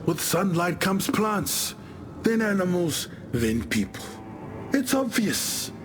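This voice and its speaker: Easygoing warrior chieftain, video game character, very animated intonation, slightly high male pitch, wry storyteller, music behind. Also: slow pace, full character voice. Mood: solemn, assured. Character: easygoing warrior chieftain of an island tribe, dead in afterlife.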